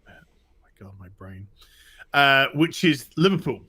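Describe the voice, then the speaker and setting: Bright-voiced British man chatting. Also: medium pitch, very animated, a little slow. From United Kingdom. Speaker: co-host of a TV review show. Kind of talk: casual recap chat.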